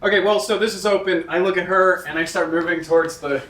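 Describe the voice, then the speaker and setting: A man's voice: sits high, steady tone, fast, distant mic, crowd noise. A man playing a tabletop game, chatting casually with the other players. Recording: roomy sound with music behind. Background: music.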